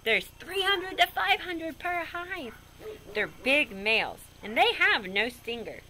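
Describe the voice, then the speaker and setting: A woman reads a picture book aloud for children. Her voice is bright and fairly high, swinging widely as she goes, and she is expressive and goofy, slipping in chatty asides. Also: slow. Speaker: storybook reader.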